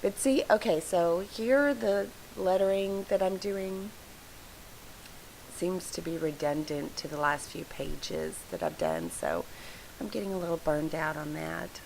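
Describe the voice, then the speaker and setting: Hoarse woman, lowish gravelly voice, rambling chatty craft vlogger. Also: lively intonation, a little slow, darkish tone, slight background noise, rough recording. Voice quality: froggy, gravelly voice. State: hoarse, congested from allergies. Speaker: scrapbooking video host. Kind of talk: casual voice-over narration of a craft process. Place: home scrapbook room.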